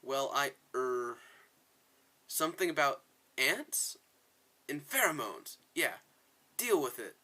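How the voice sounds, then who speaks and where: Man playing an excitable, bumbling, bright-voiced superhero. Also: mid-range voice, takes it slowly, pauses often, heavily acted. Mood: flippant. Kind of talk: reading game story dialogue aloud.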